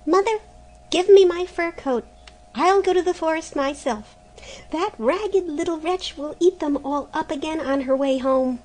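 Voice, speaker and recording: A woman's voice: unhurried, darkish tone, poor audio. A woman voicing a greedy, spiteful young woman who speaks harshly. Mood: demanding. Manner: shrill, harsh.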